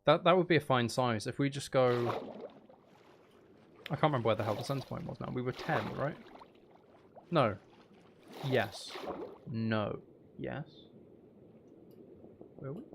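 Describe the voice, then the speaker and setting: Male streamer, medium pitch, casual and playful, thinking aloud as he plays, slight background noise. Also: unhurried, gravelly, pauses often. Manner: mutters and counts aloud to himself; playful, self-deprecating, sweary. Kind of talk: casual gameplay commentary while building.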